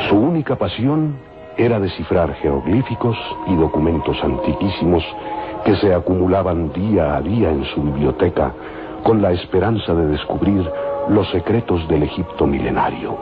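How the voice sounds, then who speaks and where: A man narrating a scripted radio serial in a solemn, literary way with measured phrasing. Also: sits low. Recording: dull lo-fi old radio recording. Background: music.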